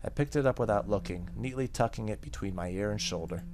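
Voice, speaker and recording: A man with a fairly low voice reads visual novel narration aloud for a gaming video. He occasionally trips on a word and repeats it. There is slight noise in the background. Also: quick pace, darkish tone. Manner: stumbles over text and rereads.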